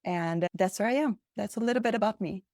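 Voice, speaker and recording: A woman from Brazil, Portuguese her first language, answers as a coach guest in her thirties. Her mid-pitched voice is earnest and animated, with rhetorical questions. The sound is dry and close. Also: brisk, dry recording. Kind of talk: interview answers.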